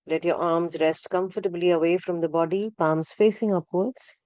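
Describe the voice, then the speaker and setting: A woman guides a meditation in a calm, gentle manner. Her voice sits a little low and is very mellow and warm, captured dry and close without room sound. She gives short instructions without hurry and leaves generous pauses between them. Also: no echo. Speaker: yoga nidra guide.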